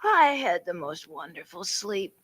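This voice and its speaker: An actress playing a fragile young wife in 1940s radio suspense, with faltering, hesitant speech. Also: female voice, mid-range voice, very animated, measured pace, fairly bright tone, no echo. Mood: drowsy, tender.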